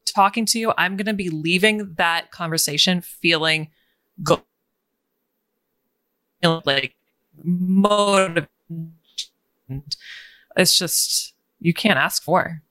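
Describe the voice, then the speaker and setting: A woman with a calming voice, a business coach chatting as a guest in an interview and laughing between thoughts. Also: fairly low pitch, slow, long pauses, no echo, rough recording. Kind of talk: casual interview chat.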